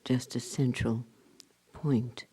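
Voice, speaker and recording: Slow, very dark-voiced man guiding meditation. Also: medium pitch, fairly even intonation, breathy edge. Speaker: meditation teacher. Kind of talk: guided meditation at a spiritual retreat.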